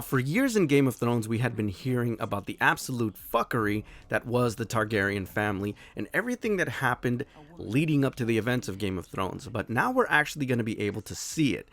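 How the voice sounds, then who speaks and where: A man hosting his own review show, talking casually and excitedly while a little hoarse from losing his voice. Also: mid-range voice. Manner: enthusiastic, animated, swears casually.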